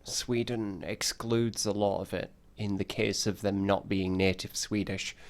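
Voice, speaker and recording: Rambling low-voiced podcast host. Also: male voice, flat delivery, unhurried. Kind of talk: casual podcast discussion.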